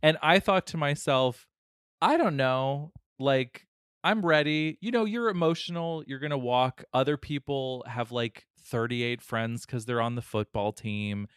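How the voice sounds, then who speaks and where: A man's voice: medium pitch, a little slow, smooth, no echo, clean studio sound. A man co-hosting a casual podcast chat, telling stories with lots of laughter and restarts. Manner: animated storyteller, laughs often, many restarts. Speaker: podcast co-host.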